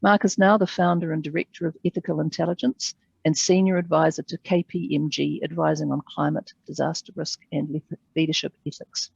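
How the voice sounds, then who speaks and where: A woman reading a formal introduction as a webinar moderator over a video call, measured and formal. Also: sits low, fairly even intonation, creaky, a little mellow, slightly rough, no echo. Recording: dull dry sound over a video call.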